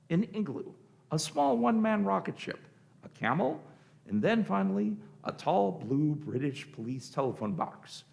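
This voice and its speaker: A man's voice: on the high side, gravelly. A man reading scripted narration for a live radio play.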